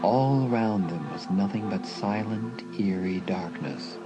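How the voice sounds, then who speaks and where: A man's voice: lowish voice, a little slow, poor audio. A man reading suspenseful scripted narration for a dramatised audiobook. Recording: faint music behind the narration.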